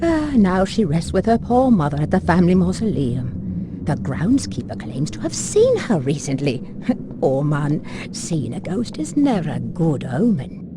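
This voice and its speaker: An older manor housekeeper in a horror game, sorrowful and talkative. Her lowish voice moves expressively through quaint old phrases, over noticeable background ambience. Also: very animated, unhurried, background noise. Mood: wistful.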